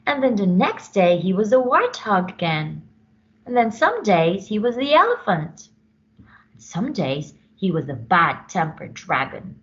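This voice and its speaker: Slow, very animated woman reading a storybook. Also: medium pitch, lo-fi sound. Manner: expressive, dramatic emphasis. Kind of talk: children's read-aloud storytelling.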